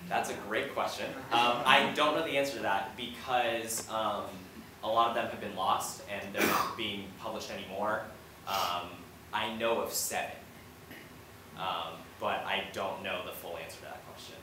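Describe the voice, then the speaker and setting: A young man with a fairly low voice talks through his work on a panel. He is informal and reflective, often halting mid-thought. The distant recording is very echoey, with clear background noise. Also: fairly even intonation. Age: young adult. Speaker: playwright on a discussion panel. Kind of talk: audience Q&A panel talk. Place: echoey indoor theater. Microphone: distant camera mic. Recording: echoey room, distant mic, noisy background.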